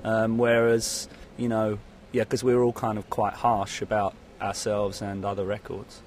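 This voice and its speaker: A man's voice: fairly low pitch, monotone, unhurried, a little mellow, a little hiss or hum. A man giving a casual interview as a musician, rambling and hesitant, often saying "you know". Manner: rambling, hesitant, peppered with 'you know'.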